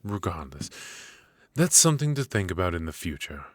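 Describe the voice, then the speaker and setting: A man's voice: sits low, big pitch swings, no room sound. A man voicing a cold, stern royal magic professor. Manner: measured, stern, lecturing. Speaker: voice actor in ASMR roleplay. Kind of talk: ASMR roleplay.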